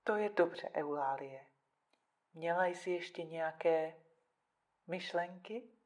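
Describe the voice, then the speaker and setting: An actress playing a composed, probing female psychiatrist in an audio drama, speaking in a measured, soothing manner. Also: lowish voice, a little hiss or hum, close to an everyday voice. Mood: calm, probing.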